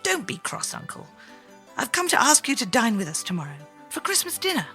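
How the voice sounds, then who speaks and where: A woman voicing a cheerful, warm-hearted young man, hearty and earnest, in a storybook reading. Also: on the high side, wildly swooping pitch, brisk, soft background music, pitched above the performer's own voice, heavily acted.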